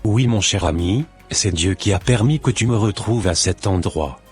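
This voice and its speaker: Man voicing a low-pitched, devout, humble man. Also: normal speed, darkish tone, slightly rough, soft background music. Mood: grateful. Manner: pious, earnest, formal. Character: devout, humble formerly homeless man, earnest and grateful.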